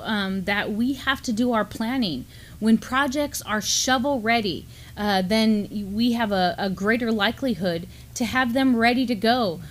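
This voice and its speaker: A middle-aged woman co-hosting a news show, commenting in an explanatory, measured way. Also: medium pitch. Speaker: news show co-host, professor of urban planning. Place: indoor studio at a desk.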